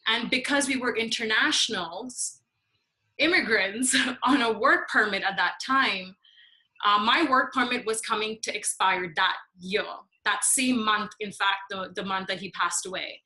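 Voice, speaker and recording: Woman late 20s, podcast guest telling her own story, bright forward voice, mid pitch. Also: measured pace, rough recording. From born in India, raised in Nigeria. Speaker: podcast guest, mental health advocate. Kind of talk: personal storytelling in an interview.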